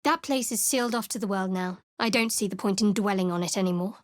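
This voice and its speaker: A woman's voice: medium pitch, fast talker, dry recording, lightly acted. An actress voicing a guarded, sardonic young woman cleric in a video game, with a dry, cutting delivery. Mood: wary. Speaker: game character. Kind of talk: studio game voice acting.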